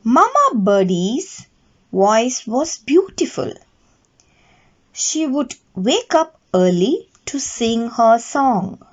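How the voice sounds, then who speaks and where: A woman reading a children's bedtime story aloud in a deliberate, expressive way. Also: fairly high pitch. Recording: dull, lo-fi recording.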